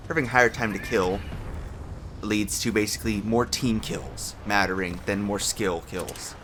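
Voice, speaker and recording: A young man with a low, slightly groggy voice rambles through a casual commentary, swearing and restarting as he vents. He records from home on a desk mic. A clear hiss of noise and game gunfire sits behind him. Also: unhurried. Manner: ranty, lots of fillers and restarts, swears. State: voice sounds a little off from just waking up. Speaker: gaming commentary host. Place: home room with a fan.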